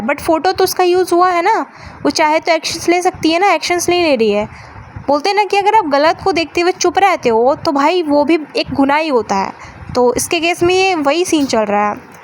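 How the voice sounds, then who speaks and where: A woman's voice: high voice, a little hiss or hum. A young woman hosting a casual commentary podcast, talking in a chatty way with English words mixed in and frequent fillers. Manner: chatty, mixes English into Hindi, lots of fillers. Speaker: podcast host.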